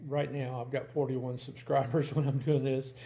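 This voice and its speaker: Older man, creaky voice, halting and plain-spoken. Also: medium pitch, flat delivery, dark tone. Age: 60s. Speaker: video channel host. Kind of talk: casual talk to camera. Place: indoors in a home room.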